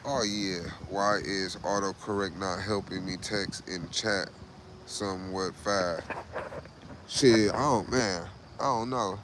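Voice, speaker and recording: A man's voice: low-pitched, steady tone, takes it slowly, noisy background. A man in his late thirties hosting a casual livestream, talking loosely and profanely, repeating himself and saying "bro" a lot. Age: 30s. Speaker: livestream host.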